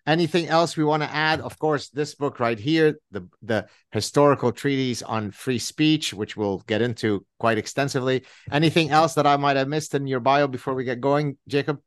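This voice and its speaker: A man's voice: mid-range voice, normal speed, hardly any pauses, dry recording. Middle-aged guy hosting his podcast, chatty, dry close sound. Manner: chatty, with many fillers and asides. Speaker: podcast host. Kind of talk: interview conversation.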